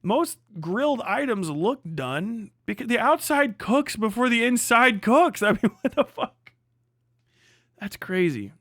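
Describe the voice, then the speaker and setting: A man's voice: very high-pitched, expressive, unhurried, a bit bright, no echo, studio quality. A man hosting a casual livestream, talking bluntly with lots of slang, swearing and sardonic exaggeration. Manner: sardonic, hyperbolic. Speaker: livestream host. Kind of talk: casual livestream rant, chatting with viewers.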